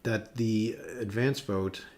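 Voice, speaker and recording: A man's voice: fairly low pitch, steady tone, slow. A man hosting a live election analysis stream, chatting casually with many fillers as he thinks aloud and reacts to viewers. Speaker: political analyst hosting a livestream.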